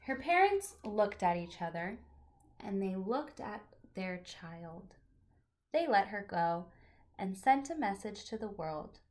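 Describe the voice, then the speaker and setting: A woman teacher reading a picture book aloud to young children, with deliberate phrasing and chatty asides to her listeners. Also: medium pitch, big pitch swings, a little mellow.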